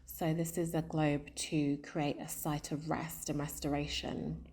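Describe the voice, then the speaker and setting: Earnest woman, low-pitched, artist statement, hesitant pauses. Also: level tone, a little mellow. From South London. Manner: thoughtful and earnest, pausing often with fillers. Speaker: comics artist. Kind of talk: personal artist statement to camera.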